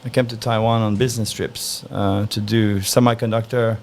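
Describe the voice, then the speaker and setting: A man from Norway answering interview questions in a relaxed, thoughtful way, pausing often to find his words. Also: on the low side, slight background noise. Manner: relaxed, thoughtful, frequent "uh" pauses. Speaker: interview guest.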